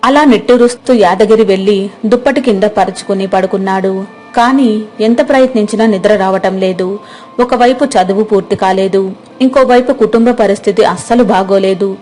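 Female storyteller, scripted moral-tale narration, medium pitch. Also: moderate pace, darkish tone, faint music behind.

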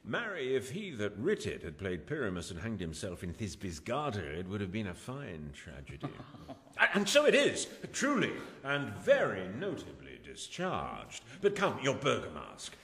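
A man's voice: lowish voice, huge pitch swings, normal speed, fairly bright tone, heavily acted. An actor playing a lordly nobleman with a wry, mocking manner, in a Shakespearean radio drama. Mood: amused, magnanimous. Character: lordly, amused nobleman watching an amateur play. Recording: reverberant hall with noise.

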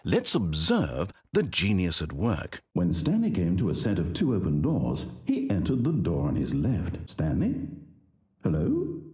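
An actor playing a pompous, needy all-knowing video game storyteller, with a British accent and an articulate, wry, melodramatic delivery. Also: male voice, low-pitched, wildly swooping pitch, unhurried, darkish tone, slightly rough, heavily acted. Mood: wry, sardonic, then anxious pleading. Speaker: game narrator. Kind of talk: theatrical scripted narration.

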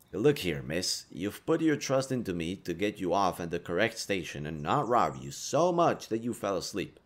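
Man playing a kind, teasing stranger, lively low voice. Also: full character voice. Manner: casual, playfully teasing, mildly awkward, swearing. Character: kind, teasing young male stranger guiding a lost girl. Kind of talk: intimate roleplay audio drama spoken to the listener.

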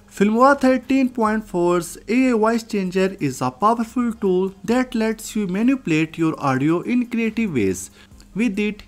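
A software tutorial host reads a scripted narration. The voice sits at a middle pitch, with faint music underneath. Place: voice-over, recorded off screen.

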